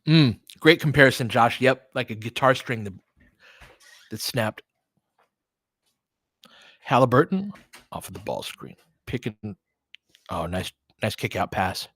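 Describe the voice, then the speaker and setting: A man hosts live basketball commentary in a relaxed, chatty style. He follows the play in short, clipped phrases and openly sides with his team. The sound is dry, with very little room in it. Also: medium pitch, a little slow, long pauses, slightly rough, no echo. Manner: conversational, reacting as a partisan fan in short clipped phrases. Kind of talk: casual live play-by-play commentary mixed with fan chat.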